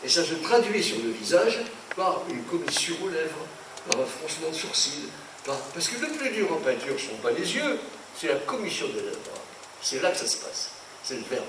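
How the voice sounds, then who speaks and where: A man's voice: on the high side, moderate pace. Older man, from France, painter lecturing, indignant, digressive, distant mic, echoey hall, noisy. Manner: animated, indignant, digressive storyteller. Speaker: painter giving a talk. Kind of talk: rambling lecture. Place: conference hall.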